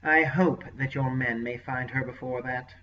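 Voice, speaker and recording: A man's voice: fairly even intonation, moderate pace, sharp tone, recorded from a distance, a little hiss or hum, natural voice. A man doing a nasal, unctuous sorcerer. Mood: smug scheming. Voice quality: deeply nasal. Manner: unctuous, smug, sly. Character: unctuous nasal male sorcerer, scheming and smug. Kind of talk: audiobook character voice. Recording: lo-fi dull recording.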